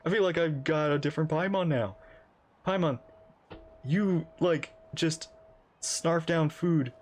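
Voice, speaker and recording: A man's voice: fairly high pitch, a little slow. A man doing casual live reaction commentary, teasingly talking back to game characters. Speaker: reaction streamer.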